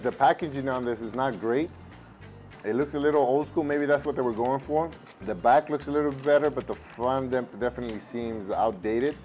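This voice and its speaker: A man in his thirties with a husky voice from losing it the day before, chatting casually at a home table as he tastes and reviews a snack. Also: medium pitch, darkish tone. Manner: easygoing, thinks aloud with fillers, switches to Spanish with his kids. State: husky, recovering from lost voice. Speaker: food reviewer. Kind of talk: casual taste-test vlog. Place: home room at a table, indoors. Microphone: lapel.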